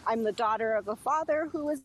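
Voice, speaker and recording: A woman speaking as an academic guest on live radio, giving measured answers. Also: a little high. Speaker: historian, professor as radio guest. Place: outdoors, outside a detention center. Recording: faint outdoor background noise.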